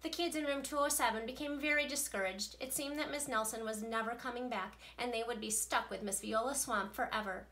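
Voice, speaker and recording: A woman, a teacher, reading a children's picture book aloud with playful, expressive delivery. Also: fairly high pitch, a little fast, few pauses. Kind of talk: storybook read-aloud.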